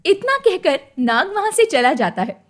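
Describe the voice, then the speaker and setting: A woman's voice: very high-pitched, sing-song, measured pace. A woman working as a story narrator, reading a scripted moral tale.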